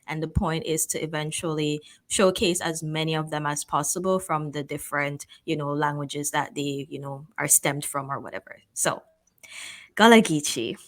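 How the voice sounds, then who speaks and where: Low voiced woman from guyana hosting an interview, chatty, laughs a lot. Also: moderate pace. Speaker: host. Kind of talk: casual interview.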